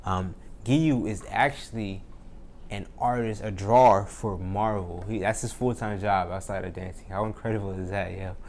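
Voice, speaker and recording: A man's voice: on the low side, steady tone, normal speed, a little mellow. A man introducing a podcast episode in an enthusiastic, informal, casual way. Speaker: podcast host. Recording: clear background ambient noise.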